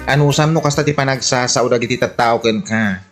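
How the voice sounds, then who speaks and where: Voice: medium pitch, a little fast, soft background music, natural voice. A performer playing a teasing, nosy neighbour with blunt advice and an Ilocano accent in a radio comedy drama. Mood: advising.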